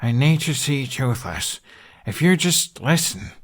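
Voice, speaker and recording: A man's voice: medium pitch, lively intonation, a little slow, pitched above the performer's own voice, lightly acted. A man voicing a furious, defiant teenage boy held captive in an audiobook, in a snarling, demanding manner. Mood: strained pleading.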